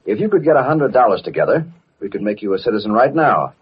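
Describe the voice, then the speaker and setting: An actor playing a smug, crooked ward boss with brash, slangy talk in an old-time radio drama. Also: male voice, on the low side, big pitch swings, fast, breathy edge, exaggerated voice. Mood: smooth persuasion. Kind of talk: 1930s-40s radio drama acting. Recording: dull, muffled old radio recording.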